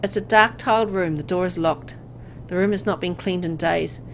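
A woman reading a visual novel aloud as dramatic scripted narration, with an expressive storytelling delivery. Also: fairly low pitch, normal speed, slight background noise.

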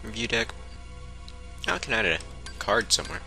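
A man's voice: lowish voice, sing-song, moderate pace, bright, forceful tone. A man giving casual let's play commentary, muttering and thinking aloud. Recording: game music clearly behind.

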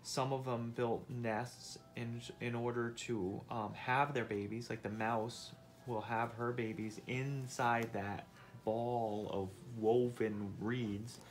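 A man's voice: medium pitch, fairly even intonation, takes it slowly, soft background music. A middle-aged man doing an interactive read-aloud at home, explaining and prompting with questions as a parent teaching. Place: indoors at home on a couch.

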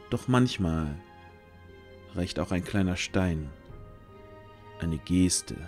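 A man narrating a scripted fantasy audio drama in a measured storyteller's delivery with deliberate pauses. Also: sits low, a little mellow. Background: background music.

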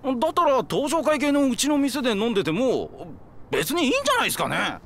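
A man playing a slow-witted, eager young yakuza underling in a game cutscene, deferential and naive, in casual junior slang. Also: extremely high pitch, very animated, very noisy, exaggerated voice. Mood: naive questioning. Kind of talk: video game cutscene acting.

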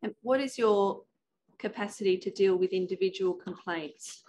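A woman questioning a witness formally as counsel, with deliberate, careful phrasing. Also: medium pitch, mellow, rough recording. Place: indoor hearing room.

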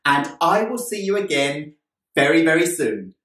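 A man's voice: a little high, sing-song, normal speed, fairly bright tone. A middle-aged man talking casually to camera as a plant vlogger, explaining things chattily and repeating his points in other words. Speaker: plant vlogger, amateur gardener. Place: indoors, small bright room by a window. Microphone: camera a few steps away. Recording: echoey room, distant camera mic.

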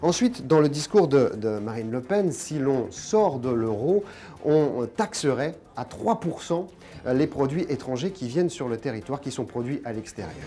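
A man's voice: medium pitch, expressive, normal speed, dark tone. A man in his forties, an economics journalist giving an emphatic, step-by-step explanation to camera on a lapel mic. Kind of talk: explanatory news commentary to camera.

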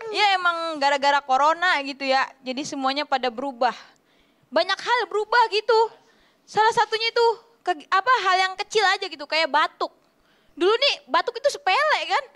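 A young woman doing a stand-up comedy routine in an animated, slangy storytelling way. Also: very high-pitched, brisk. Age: young adult. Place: TV studio stage, indoors. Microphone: handheld microphone.

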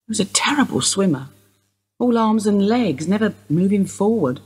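A woman acting in a radio play as a weary, evasive older wife under suspicion, husky and rambling in an understated way. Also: medium pitch, lively intonation, normal speed, darkish tone. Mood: wistful, evasive.

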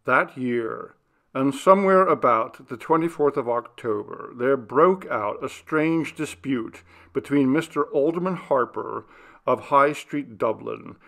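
A man narrating a literary story from a script in a measured, formal storytelling manner. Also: mid-range voice, vocal fry, a bit bright. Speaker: audiobook narrator.

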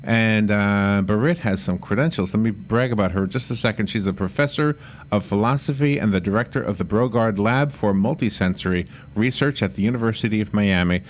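A man's voice: lowish voice, fairly quick, a little hiss or hum. A man hosting a radio show, bantering casually and interviewing in a studio. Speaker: radio host.

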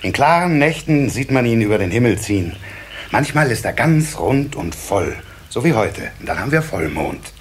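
Animated, kindly water sprite father. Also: mid-range voice, normal speed, breathy edge. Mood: fatherly, indulgent. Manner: fatherly, musing, hesitant repetitions. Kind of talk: children's radio play acting. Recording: faint hiss of old cassette.

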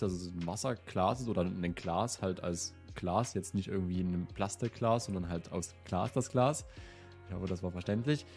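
Young guy a bit sick, chill chatty host, lowish voice, faint music behind. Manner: relaxed, chatty, with fillers. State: slightly ill. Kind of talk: casual Q&A vlog. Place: indoor room with plant wall and lit shelves.

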